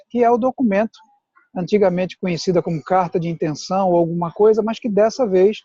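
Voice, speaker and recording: A man explains things in a steady, deliberate way as a guest adviser. His voice is high yet mellow in tone. The audio is dry and dull, with the muffled, lo-fi sound of a video call. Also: dry recording. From Pernambuco, Brazil. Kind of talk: explanatory chat.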